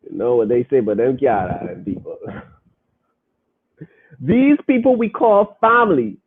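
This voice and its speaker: A man with a high voice hosts a podcast in a casual, preachy way, talking straight at his listeners. His voice comes through thin and narrow, as if over a phone line. He stresses his points hard. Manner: emphatic, preachy, addresses listeners directly. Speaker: podcast host. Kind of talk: casual commentary, reading listener comments.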